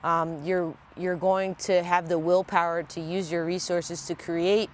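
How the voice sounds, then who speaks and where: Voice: medium pitch, level tone, measured pace, a little hiss or hum. A tarot reader with a congested, stuffy voice, giving a casual reading at home in blunt, profane everyday talk. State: congested from a sinus infection.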